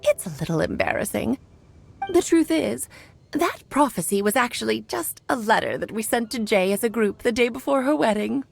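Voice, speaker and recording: A woman's voice: on the high side, lively intonation, moderate pace, gravelly. A woman voicing a playful, grand elder witch in a video game, theatrical and coy, with a motherly storytelling manner. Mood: amused. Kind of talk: video game character acting. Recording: music playing behind.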